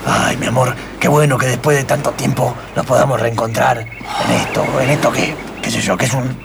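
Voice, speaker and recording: A man voicing an excitable, doting family dad in a comic radio play, with an Argentine accent and a stammering, repetitive delivery. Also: mid-range voice, moderate pace, creaky, background noise, pitched below the performer's own voice. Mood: tender. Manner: stammering, repeats himself.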